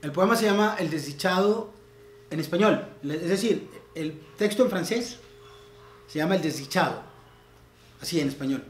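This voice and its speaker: A man's voice: on the high side, animated, normal speed, long pauses, echoey room, slight background noise. A man leading a literary talk in a room of a house, explaining a poem and reading its translations aloud in a raised, declaiming voice.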